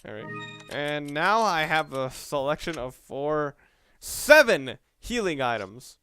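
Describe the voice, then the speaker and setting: A man's voice: fairly high pitch, sing-song, slow pace, pushed and bright, soft background music. A man giving casual, hesitant, stop-start commentary while playing a video game. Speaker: let's play commentator. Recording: clearly noisy home recording.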